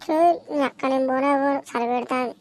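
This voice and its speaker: A woman acting as a young cartoon village lad who whines and curses. The voice is high and defiant, with a Telangana accent. The recording carries slight noise. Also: fairly even intonation, a little fast. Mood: defiant whining. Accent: Telangana Telugu. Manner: whining, crude, defiant. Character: whiny, cursing jobless young village son. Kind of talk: amateur cartoon comedy dialogue.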